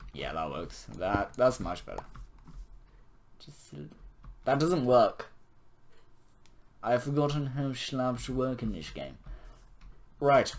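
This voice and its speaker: Hoarse guy with a fading voice. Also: mid-range voice, a little hiss or hum. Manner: self-mocking, chuckling, rambling. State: hoarse, voice nearly gone. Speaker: gaming video creator. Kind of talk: casual game commentary while building.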